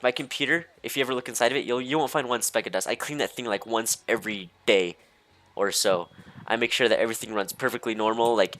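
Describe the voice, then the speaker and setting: A young man with a slightly low voice tells personal stories casually. He talks in a loose, halting way, doubling back and losing his thread, with "like" scattered through his sentences. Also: a bit bright. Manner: hesitant, halting, easily distracted, heavy use of "like". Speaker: storyteller. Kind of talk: casual rambling storytime.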